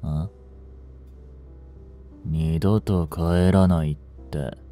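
A man playing a blunt, languid young delinquent and protective childhood friend, drawling gruffly and teasingly in an intimate audio drama. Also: low voice, steady tone, very slow, mellow, soft background music. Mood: casual, teasing.

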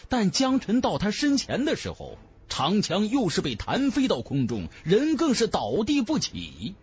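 A man's voice: high voice, huge pitch swings, a little slow, husky, highs cut off. A man narrating a fantasy novel in a theatrical, emphatic style, as a scripted audiobook.

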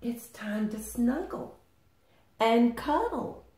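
Mother hen for a storybook, played by a woman: gentle, singsong, very slow, tender. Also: a little high, expressive. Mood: soothing. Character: tender, motherly hen coaxing her chicks to nap. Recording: roomy home recording.

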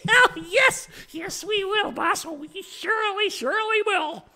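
A man voicing hideous goblin minions for a folk tale, eager and whining, with cackling, drawn-out exclamations. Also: extremely high pitch, sharp tone, pitched above the performer's own voice, full character voice. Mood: gleeful, fawning.

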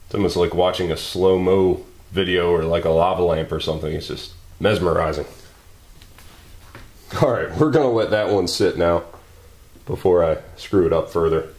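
Low-voiced man talking over his workbench. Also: normal speed, darkish tone. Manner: joking, exclaiming and thinking aloud while working. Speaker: maker channel host. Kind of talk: casual workshop commentary. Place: home garage workshop.

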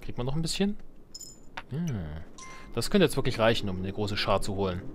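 A man's voice: mid-range voice, sing-song, a little fast, faint music behind. A man giving casual gaming commentary as a let's play host, wry and joking as he thinks aloud.

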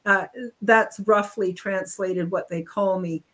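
A woman's voice: medium pitch, fairly even intonation, takes it slowly, fairly bright tone. An older woman talking casually as an interview guest over a video call, rambling with restarts and fillers. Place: home room on video call.

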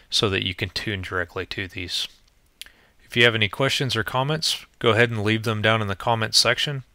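A man with a lowish voice and a very bright, forceful edge narrates a software demo. He explains each step plainly and informally. The recording is dry and close, with no room sound. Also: dry recording. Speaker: tutorial presenter.

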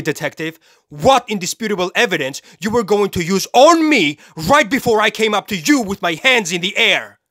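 An actor playing a cold, self-righteous serial killer in a dramatic film monologue, speaking deliberately and with menace, pausing for effect. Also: male voice, medium pitch, a bit bright, no echo, clean studio sound. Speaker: actor performing a movie monologue.

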